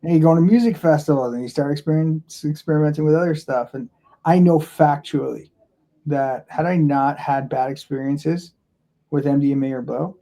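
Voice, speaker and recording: A podcast guest from Florida tells his story casually, in a rambling way full of fillers and restarts. His man's voice sits a touch high, with a mellow, warm tone. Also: moderate pace.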